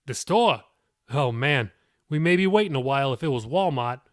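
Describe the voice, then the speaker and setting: A man's voice: medium pitch, lively intonation, moderate pace, dry recording, lightly acted. Man playing a middle-aged dad, genial, folksy, jokey, audiobook dialogue, dry close sound. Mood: joking. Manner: folksy, sheepish humor.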